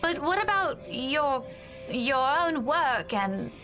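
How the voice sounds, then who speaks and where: A woman's voice: high-pitched, very animated, slow, sharp tone, soft background music, heavily acted. An actress voicing a defensive, independent young woman in a horror visual novel. She sounds insistent, then hesitant when pressed.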